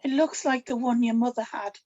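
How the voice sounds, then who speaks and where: A woman playing an older grandmother, gentle but firm and secretive, in a scripted table read. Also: on the high side, fairly even intonation, fairly quick, muffled recording, close to an everyday voice. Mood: measured, reflective.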